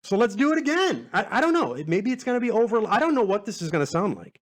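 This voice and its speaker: A man in his forties chats casually as a podcast host, with a slightly high voice that moves up and down a lot. He rambles in a laid-back, self-deprecating way, drifting from one thought to the next. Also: sing-song, quick pace. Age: 40s.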